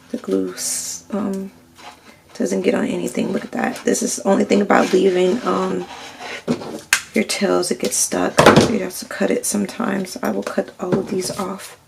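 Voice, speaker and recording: A woman's voice: mid-range voice, flat delivery, unhurried, creaky, a little mellow. A woman with a slightly nasal, stuffy voice and a scratchy throat, chatting through a crafting tutorial step by step and asking "right?" as she goes. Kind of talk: casual step-by-step crafting demo.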